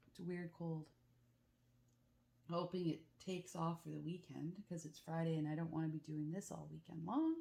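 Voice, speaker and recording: Low, mellow woman with a rough, congested voice. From Canada. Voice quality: rough, croaky. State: congested, rough throat from a cold, throat-clearing. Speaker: craft vlogger. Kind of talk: casual chat while crafting. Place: at home with the back door open.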